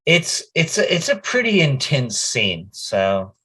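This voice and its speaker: Slow-talking middle-aged male interview guest. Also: fairly high pitch, wildly swooping pitch, sharp tone, slightly rough. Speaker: voice actor guest. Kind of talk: casual interview chat.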